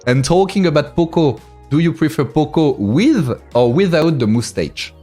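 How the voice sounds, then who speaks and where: A man's voice: fairly high pitch, expressive, moderate pace, warm tone. A man from France with a French accent, hosting a casual interview in hesitant second-language phrasing, sounding amused. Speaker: interviewer and show host. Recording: music behind the voice.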